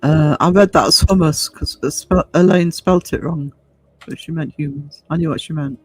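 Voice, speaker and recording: A man's voice: fairly high pitch, fairly even intonation, normal speed, breathy edge, rough recording. A man in his fifties hosting a livestream, chatting casually and reading out comments, hesitant and thoughtful as he rephrases. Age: 50s. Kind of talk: casual discussion.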